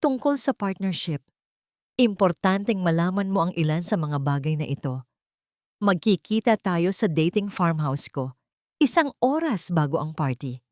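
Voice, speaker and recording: A woman's voice: on the low side, animated, measured pace, no room sound. A cold, menacing Filipino husband from an audiobook melodrama, as a woman narrator puts him on. He speaks with threat and calculation, set in a dry, close recording. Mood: falsely calm. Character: cold, menacing villainous husband plotting murder.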